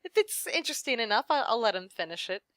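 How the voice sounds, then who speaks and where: A woman's voice: on the high side, big pitch swings, fast, a bit bright, dry recording. A young woman, a voice actress, chatting as an interview guest, laughing often and trailing off mid-thought. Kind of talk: casual interview chat.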